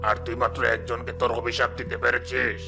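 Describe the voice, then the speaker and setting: Man playing a creaky-voiced, menacing sorcerer. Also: medium pitch, little pitch movement, fast talker, lightly acted. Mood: commanding, sly. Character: evil sorcerer master of a snake, scheming and commanding. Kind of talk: cartoon story dubbing. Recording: music bed under the dialogue.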